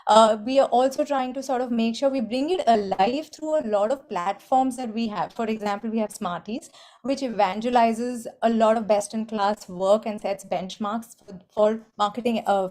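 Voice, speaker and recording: A woman's voice: on the high side, a little mellow. Woman speaking at a trade webinar. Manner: measured, formal corporate speech with fillers. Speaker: trade body executive and webinar organizer. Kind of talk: webinar panel discussion.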